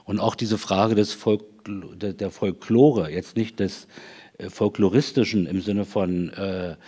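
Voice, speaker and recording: Low-voiced, hesitant older man moderating. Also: steady tone, measured pace, mellow, slightly rough. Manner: hesitant, searching for words. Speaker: panel moderator. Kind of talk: moderating and commenting, in German. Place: auditorium stage at a public panel. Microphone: handheld microphone.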